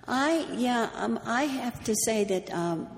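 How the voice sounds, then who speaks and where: A woman whose first language is Greek gives a history talk, reading a story and then answering audience questions with many pauses and ums. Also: on the high side, expressive. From New Mexico, USA. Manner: hesitant, with many ums and restarts when answering. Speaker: speaker giving a history talk. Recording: echoey hall, faint background noise.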